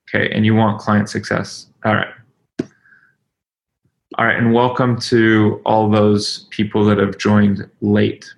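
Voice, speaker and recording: Man with creaky voice, informal demo host. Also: lowish voice, a bit bright. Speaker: webinar host and company leader. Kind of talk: informal live software demonstration.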